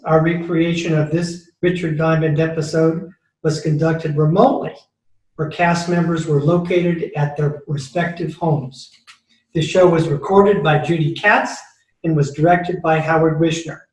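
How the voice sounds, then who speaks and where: Male announcer, fairly high voice, scripted credits, roomy distant recording, music behind. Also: steady tone, measured pace. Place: home, remote recording.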